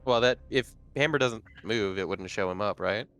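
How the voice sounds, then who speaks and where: A man with a lowish voice plays in a tabletop roleplaying session. He talks casually as he plans with the group, with faint music underneath. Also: moderate pace, fairly bright tone. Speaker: player in a tabletop roleplaying game. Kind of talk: casual game table chat.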